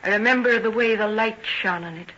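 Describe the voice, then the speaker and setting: An actress in an old-time radio drama playing a farmer's anxious, protective daughter, firm and plain-spoken. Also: female voice, mid-range voice, a little fast, pushed and bright, natural voice. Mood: wary. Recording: dull old radio recording, faint noise.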